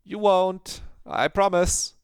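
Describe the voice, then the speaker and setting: A man's voice: sits high, fairly even intonation, takes it slowly, smooth, no echo, studio quality, voice pushed up. A man doing a sullen, guarded teen girl. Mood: curt. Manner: evasive, curt, defensive. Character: sullen, guarded teenage schoolgirl.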